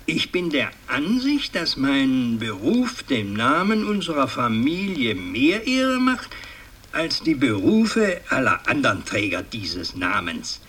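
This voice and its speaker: An actor playing a stern, fussy older aristocratic patriarch who speaks formally and haltingly in a German radio drama. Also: male voice, mid-range voice, huge pitch swings, a bit bright, far from the mic, a little hiss or hum, full character voice. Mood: grave, disapproving. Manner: formal, pompous, halting with hums and pedantic repeats. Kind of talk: radio drama monologue, addressing a family meeting.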